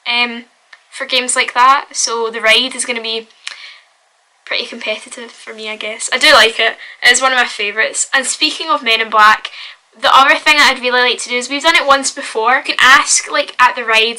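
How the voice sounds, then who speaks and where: A woman's voice: high-pitched, a little fast, sharp tone, rough recording. A young woman with a Glasgow accent chatting casually and cheerfully to camera in her bedroom, full of "like" and "wee.". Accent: Glaswegian. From Scotland. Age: young adult. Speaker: vlogger. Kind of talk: casual vlog chat.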